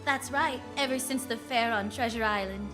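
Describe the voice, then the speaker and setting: A woman's voice: a little high, moderate pace, over music, natural voice. A woman playing a wry, flirtatious, self-assured private eye in the style of 1940s radio drama, full of slangy quips. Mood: hopeful. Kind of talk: 1940s radio drama acting with first-person narration.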